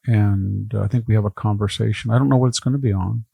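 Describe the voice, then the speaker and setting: A man's voice: fairly low pitch, fairly even intonation, quick pace, vocal fry, mellow, husky, no room sound. An older man, a historian, talking casually in a podcast, wandering from one anecdote to the next. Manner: rambling, anecdotal storytelling. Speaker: historian guest.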